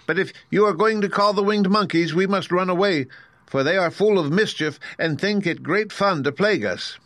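A man voicing a tiny, kindly queen of the mice in a squeaky voice for a storybook reading. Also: normal speed, a bit bright, dry recording. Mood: hurried.

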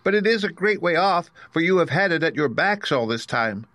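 A man voicing a tiny, kindly queen of the mice in a squeaky voice for a storybook reading. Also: animated, fairly quick, sharp tone, no echo.

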